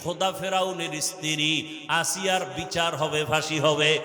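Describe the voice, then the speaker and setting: A man's voice: fairly high pitch, fairly even intonation, roomy sound, in a large hall. A man preaching an Islamic sermon as melodic, chanted storytelling, impassioned and drawn out. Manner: impassioned, drawn-out singing delivery rising into shouts.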